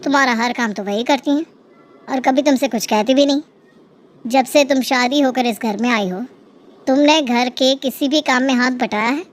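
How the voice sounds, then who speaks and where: A woman's voice: high voice, moderate pace, close to an everyday voice. A woman playing an indignant, reproachful young husband. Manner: reproachful, stern. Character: indignant young husband defending his family.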